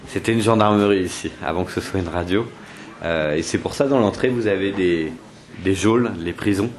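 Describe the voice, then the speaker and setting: A man's voice: low voice, fairly quick. A man explaining things in a plain, matter-of-fact way.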